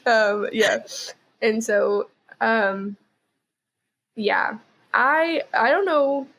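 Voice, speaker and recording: A woman's voice: a little high, extremely slow, vocal fry, fairly bright tone, low-quality recording. A woman chatting casually as a podcast guest, rambling with lots of "like" and "um". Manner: rambling, heavy use of "like" and "um". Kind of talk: casual chat.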